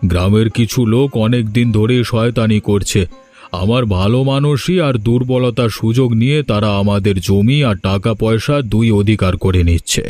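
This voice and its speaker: A man's voice: lowish voice, fairly even intonation, normal speed, darkish tone, dry recording, close to an everyday voice. A man playing a worried, kindly middle-aged village landlord in a cartoon, speaking earnestly over music. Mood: worried, sombre. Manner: earnest, troubled. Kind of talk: dramatic cartoon dialogue. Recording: music behind the voice.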